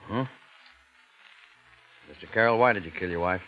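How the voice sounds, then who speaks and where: An actor playing a sharp police detective in an old radio crime drama, courteous but probing and relentlessly insistent. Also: male voice, low voice, normal speed, soft background music, lightly acted. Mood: accusing. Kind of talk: 1940s-50s radio crime drama acting. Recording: muffled noisy vintage radio recording.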